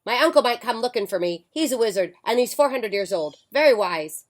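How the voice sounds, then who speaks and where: A woman's voice: on the high side, lively intonation, fairly quick, voice pushed up, natural voice. A woman doing a chirpy, chatty witch. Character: chatty young-at-heart witch, once a little girl.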